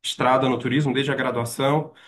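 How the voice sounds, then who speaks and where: A man's voice: mid-range voice, little pitch movement, a little fast, slight background noise. Maranhão-accented man giving an online lecture. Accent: Maranhão Brazilian Portuguese. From Maranhão, Brazil. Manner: polite, hesitant with fillers. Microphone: video call.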